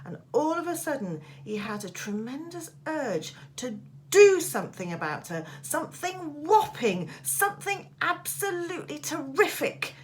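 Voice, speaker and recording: A woman's voice: a little high, a little hiss or hum. A woman teacher reading a children's storybook aloud with dramatic, emphatic expression. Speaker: primary school teacher.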